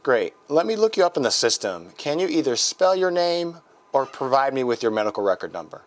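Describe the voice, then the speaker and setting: A man's voice: medium pitch, big pitch swings, a little fast, lightly acted. A man with an American accent playing a polite, helpful clinic receptionist in a scripted training role-play call. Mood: helpful, businesslike. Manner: courteous, accommodating, procedural.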